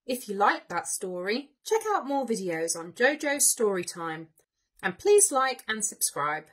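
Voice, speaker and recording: A woman narrating a children's story aloud in an expressive storytelling style. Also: mid-range voice, a little slow, breathy edge. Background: music.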